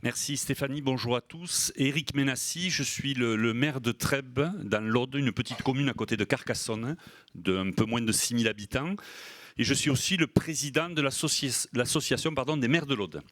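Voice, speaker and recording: A man's voice: mid-range voice, normal speed, fairly bright tone, no echo. A man giving a semi-formal introductory speech at a conference as a local mayor. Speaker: mayor speaking as working group co-chair.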